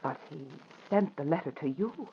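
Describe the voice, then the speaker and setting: A woman's voice: low voice, animated. An actress in an old-time radio drama playing an anxious, grieving married woman who speaks hesitantly. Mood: hesitant, troubled. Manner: hesitant, halting. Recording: dull, noisy old radio recording.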